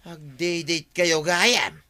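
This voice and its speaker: A man's voice: a little high, takes it slowly, pushed and bright. A man playing a meddling, scolding older village official in a comedy drama. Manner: scolding, blustery.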